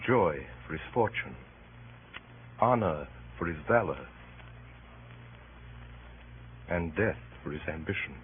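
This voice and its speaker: An honorable roman senator speech, lowish and really slow, solemn old radio shakespeare. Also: male voice. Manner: deliberate, measured rhetoric. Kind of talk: classical radio drama oratory. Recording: tinny old radio recording, faint noise.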